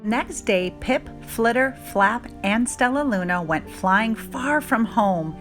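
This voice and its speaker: Expressive woman reading a storybook, very lively pitch. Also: takes it slowly, soft background music. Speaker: storybook reader. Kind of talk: expressive children's read-aloud narration.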